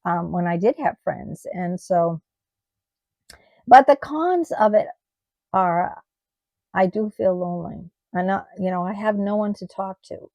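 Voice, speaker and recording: Brief: middle-aged American woman, vlogger, gravelly tired voice, halting delivery, dry close sound. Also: on the low side, darkish tone, no room sound. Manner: halting, with many ums and restarts. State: losing her voice. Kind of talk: personal vlog monologue.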